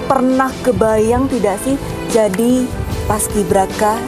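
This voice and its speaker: High-voiced woman reporter over music. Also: takes it slowly. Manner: encouraging, prompting with questions and echoing answers. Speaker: field reporter interviewing guests. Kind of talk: live broadcast interview, chatty. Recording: music playing behind the voice.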